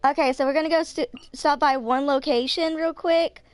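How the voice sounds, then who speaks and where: A woman's voice: sits high, fairly even intonation, moderate pace, no room sound. A woman in her twenties chatting casually on a livestream while she plays a game. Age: 20s. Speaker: game streamer.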